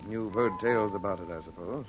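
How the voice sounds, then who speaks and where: An actor playing a calm, probing adventurer hero in a 1940s radio drama, coaxing yet firmly commanding. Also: male voice, on the low side, fast, lo-fi sound, natural voice. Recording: band-limited 1940s radio recording with music.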